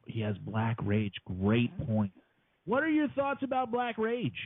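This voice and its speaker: A brash male host of a crude comedy talk show, mocking his co-hosts and slipping into exaggerated voices, with a lively, bouncing delivery. He sounds tinny and narrow, like he's coming down a phone line. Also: a little high, measured pace, no echo. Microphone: call-in phone line. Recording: phone-line call-in audio.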